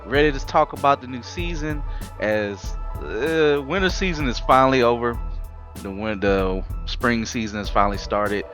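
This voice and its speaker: A talkative man hosting a casual podcast, rambling through his opinions with animated asides. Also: medium pitch, normal speed, soft background music. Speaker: podcast host. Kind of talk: casual chat.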